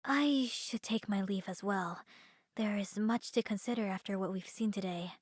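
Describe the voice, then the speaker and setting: A woman's voice: medium pitch, moderate pace, no echo, clean studio sound, natural voice. A woman voicing an earnest, troubled woman with formal wording in a visual novel. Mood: wary. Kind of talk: visual novel character voice reading.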